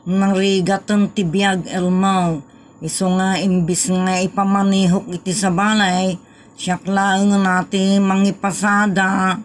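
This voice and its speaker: Woman acting as hardworking woman driver, medium-pitched, very slow plain speech, matter-of-fact, comedy audio drama. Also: flat delivery, slight background noise, close to an everyday voice.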